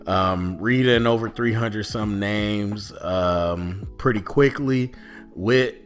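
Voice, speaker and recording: A man's voice: lowish voice, slow, dull audio, faint music behind. A man hosting his podcast from home, talking loosely with lots of ums while his voice recovers from a cold. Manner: loose rambling, many ums and restarts. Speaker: podcast host. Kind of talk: casual solo podcast talk. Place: at home indoors.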